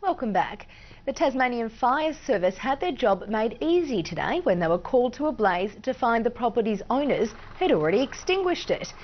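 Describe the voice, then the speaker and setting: Female TV news anchor, Australian accent, higher pitch, scripted delivery, slightly dull audio. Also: big pitch swings, moderate pace, a little hiss or hum. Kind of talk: scripted broadcast news reading.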